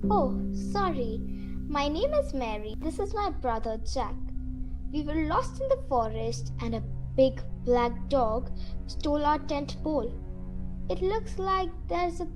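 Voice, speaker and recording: A woman's voice: sits high, low-quality recording. A female performer playing a polite, sensible girl, an earnest older-sister type, in a student radio play.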